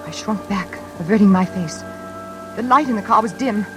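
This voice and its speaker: A woman playing a nervous, desperate heroine in a 1940s radio suspense drama, halting and breathless. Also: medium pitch, over music, close to an everyday voice, poor audio. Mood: haunted. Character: frightened, haunted single woman, nervous and desperate. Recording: old radio recording.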